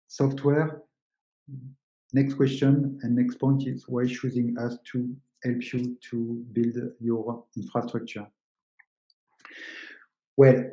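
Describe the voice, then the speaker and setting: A man's voice: mid-range voice, warm tone. Man presenting in an echoey room. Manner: explanatory, halting non-native English phrasing. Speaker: webinar presenter, company co-founder. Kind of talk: technical webinar presentation. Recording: roomy, echoing sound.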